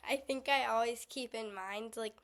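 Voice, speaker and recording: Young female interviewee, actor, high voice, chatty and informal. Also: moderate pace, fairly bright tone. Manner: chatty, frequent "like". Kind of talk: casual interview chat.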